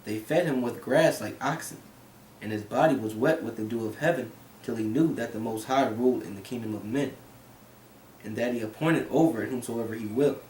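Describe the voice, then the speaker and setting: A man's voice: medium pitch, a little fast, darkish tone. Man reading scripture in an echoey room. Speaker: scripture reader. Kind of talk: reading scripture aloud with comments. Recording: echoey room, distant mic, faint hiss.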